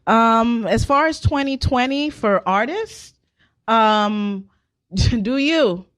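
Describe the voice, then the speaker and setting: A woman's voice: on the high side, animated, slow, fairly bright tone. A woman speaking as a singer guest in a casual interview, telling anecdotes and repeating phrases for emphasis.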